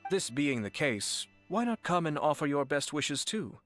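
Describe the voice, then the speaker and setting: A man voicing a calm, refined young noble clan commissioner who speaks in a polite, formal and measured way. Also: a little high, no room sound, studio quality, soft background music. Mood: composed. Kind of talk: game voice acting.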